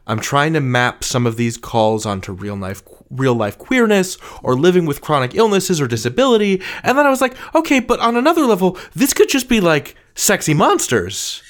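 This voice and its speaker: A woman's voice: deep voice, big pitch swings, moderate pace, few pauses. A woman acting as interviewer, chatting in a casual, informal way. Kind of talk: casual chat.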